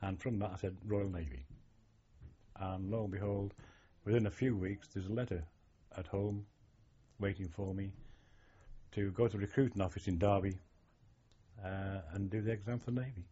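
Older male, low husky mellow voice, reflective storyteller, lo-fi archive tape. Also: steady tone, moderate pace. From England. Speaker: oral history interviewee, former navy sailor. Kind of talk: reminiscing oral history interview.

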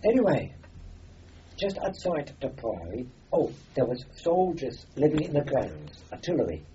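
A man's voice: mid-range voice, very animated, unhurried, warm tone, breathy edge. An older man from Austria, a native German speaker, telling a rambling oral-history story as an interviewee, with many fillers and restarts. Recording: dull lo-fi recording.

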